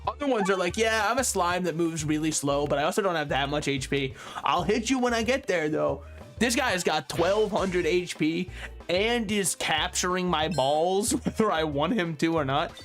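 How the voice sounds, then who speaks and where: A man's voice: high voice, animated, normal speed, a bit bright, soft background music. A young man from the United States streaming a video game, rambling and joking at his own expense as he plays. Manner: joking, rambling, self-mocking. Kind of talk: casual gameplay commentary.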